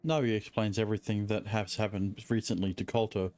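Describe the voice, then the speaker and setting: Casual, fairly low-voiced male streamer. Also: fairly even intonation, fairly quick, a little mellow. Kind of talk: casual game playthrough commentary, reading along.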